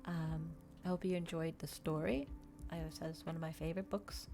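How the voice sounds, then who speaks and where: A woman's voice: sits low, fairly even intonation, fairly quick, over music. A woman streamer doing an ASMR stream, reading a book and chatting casually to listeners. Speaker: VTuber streamer, reader.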